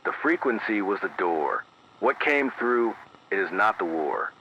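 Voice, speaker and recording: A man playing a careful, weary emergency radio announcer, reading formal bulletins in a measured, deliberate way through an AM radio filter. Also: lowish voice, animated, pushed and bright, highs cut off, a little hiss or hum. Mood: weary, solemn. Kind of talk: scripted emergency bulletin, analog horror radio drama.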